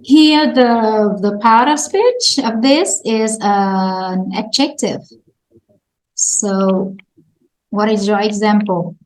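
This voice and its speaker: A woman's voice: mid-range voice, very slow, echoey room, poor audio. A woman English teacher with a Vietnamese accent, patiently prompting students in an online lesson and laughing often.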